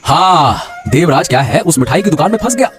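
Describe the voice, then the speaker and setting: A man voicing a scheming, cheerful village husband in an animated Hindi moral story, exaggerated and repeating his words. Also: fairly high pitch, brisk, soft background music. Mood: smug, confident.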